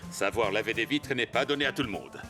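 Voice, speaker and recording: A man's voice: lowish voice, brisk, close to an everyday voice. A man voicing a businesslike male building owner who gives orders in a cartoon dub. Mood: brisk. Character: brisk male building owner, stern boss. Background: music.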